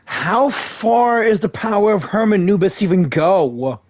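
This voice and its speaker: Animated, chatty gamer reacting along. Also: mid-range voice, unhurried, no echo. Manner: chatty, enthusiastic, talks back to characters. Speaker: gaming content creator. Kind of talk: casual reactive game commentary.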